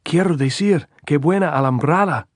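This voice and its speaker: A man voicing a quick-witted, nervy young Spanish guerrilla who shouts his answers and cracks sardonic jokes. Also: on the low side, steady tone, husky, no echo, studio quality. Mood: shouting, wry.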